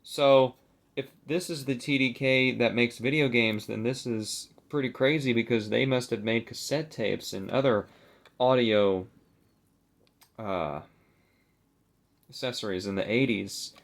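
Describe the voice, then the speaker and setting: A man's voice: medium pitch, a little slow, vocal fry. A young adult man chatting casually and unscripted for a video, rambling and hesitant, with a self-deprecating manner. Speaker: video creator showing a collectible. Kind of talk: casual unscripted vlog chat.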